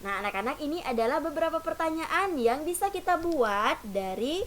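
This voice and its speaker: A woman's voice: high voice, expressive, moderate pace, fairly bright tone. A woman teacher giving a patient lesson to young children, often opening sentences with "nah". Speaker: primary school teacher. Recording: faint hiss.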